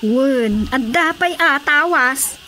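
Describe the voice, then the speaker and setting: A woman's voice: high-pitched, expressive, takes it slowly, noisy background. A woman playing a chatty, practical market stallholder in an Ilocano radio drama comedy. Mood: selling.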